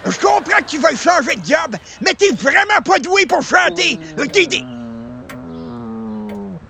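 Man doing a shrill, creaky Québécois grumpy old grandpa. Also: huge pitch swings, slow, background noise, heavily acted. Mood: grouchy, scolding. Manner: grumbling, theatrical, rambling chains of complaint. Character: cranky old grandpa neighbour who adores his mother. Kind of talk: old-time radio comedy acting.

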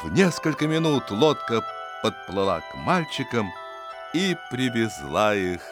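A man reads a story as an audiobook narrator, in an expressive, suspenseful storytelling manner. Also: lowish voice, unhurried. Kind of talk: dramatic scripted story narration. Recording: music behind the voice. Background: music.

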